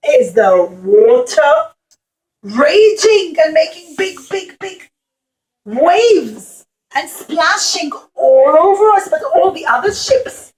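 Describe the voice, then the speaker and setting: Woman playing a dramatic, high-voiced blustering ship captain. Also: full character voice, rough recording. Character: blustering ship captain, money-loving, alarmed by the storm. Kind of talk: children's puppet show storytelling.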